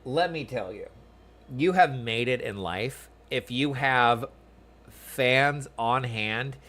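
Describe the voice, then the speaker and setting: A man's voice: mid-range voice, slow pace, pushed and bright. Chatty American man livestreaming a game. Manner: chatty, rambling, self-deprecating, reads chat aloud. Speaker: game streamer. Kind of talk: casual livestream chat over gameplay. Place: small room at home.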